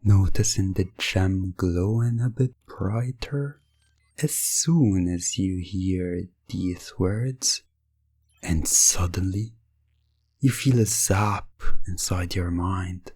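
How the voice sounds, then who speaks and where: A man narrating an ASMR hypnosis roleplay in a breathy, husky voice, measured and pausing between short phrases. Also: low-pitched, darkish tone, dry recording.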